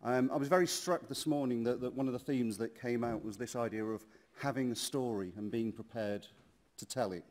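A man's voice: mid-range voice, brisk, a little mellow. Genial man chairing a conference session. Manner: genial, chatty, many ums. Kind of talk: introducing speakers. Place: large conference hall, on stage at a lectern.

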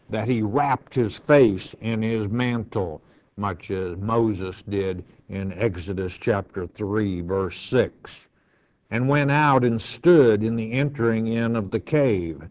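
A man preaching as a pastor, reading Bible verses aloud with emphasis and explaining them in a measured, deliberate way. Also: fairly low pitch, darkish tone. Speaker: pastor teaching a Bible lesson. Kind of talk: verse-by-verse Bible teaching, reading scripture aloud then commenting. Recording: roomy echo, dull lo-fi sound.

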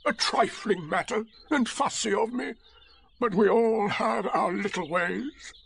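A man voicing a gloomy, sarcastic storybook donkey with a slow, mournful drawl. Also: high-pitched, sing-song, voice pushed up, exaggerated voice. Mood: dry, fussy. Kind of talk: storybook character voice.

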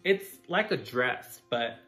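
An earnest, shy little storybook boy, played by a man. His soft-spoken delivery is slightly raised and swings around in pitch, with a bright edge. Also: lively intonation, slow, soft background music. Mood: hesitant. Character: young boy Jacob, earnest, shy, proud of his dress.

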